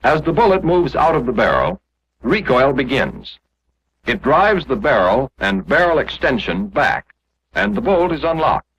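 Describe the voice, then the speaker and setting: Casting: male narrator for a 1940s instructional film, animated intonation, didactic scripted read, muffled lo-fi period sound. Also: medium pitch, a little slow. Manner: didactic, clearly explanatory, step by step.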